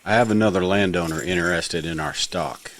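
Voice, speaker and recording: A man's voice: deep voice, a little fast, fairly bright tone. An actor playing a matter-of-fact, compliant henchman who deals in captives, in an audio drama. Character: businesslike henchman dealing in captive labour.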